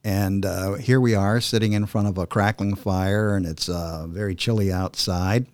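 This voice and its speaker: A man hosting a podcast in a chatty, halting, explanatory way, sometimes reading verse aloud. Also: sits low, fairly even intonation, darkish tone, dry recording, studio quality. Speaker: podcast host. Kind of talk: casual podcast talk and poem reading.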